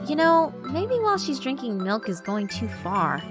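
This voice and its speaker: A woman's voice: a little high, sing-song, moderate pace, pitched above the performer's own voice. Haughty, teasing female school spirit, acted by a woman; cruel and smug, over prominent background music. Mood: gleeful malice. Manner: smug, taunting.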